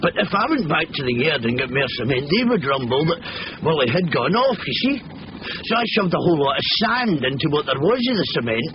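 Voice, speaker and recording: Casting: jumpy, rambling Scottish labourer, lively higher-set voice, vintage radio drama. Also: male voice, fairly quick. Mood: explaining eagerly. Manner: rambling, nervy, colloquial. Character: chatty, jittery working-class labourer with a shady scheme. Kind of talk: 1970s British radio comedy-thriller acting. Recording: muffled, noisy old radio broadcast.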